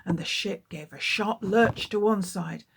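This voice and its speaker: A woman, a children's author, reading her book aloud with lively, expressive storytelling. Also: mid-range voice, unhurried, creaky, background noise.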